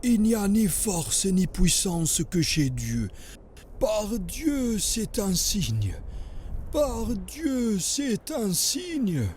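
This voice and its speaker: An actor playing a pious, solemn sheikh in a French cartoon, preaching in a grave, measured, husky voice. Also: male voice, high-pitched, sing-song, darkish tone, slight background noise, full character voice. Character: pious, solemn sheikh teaching about the prophet.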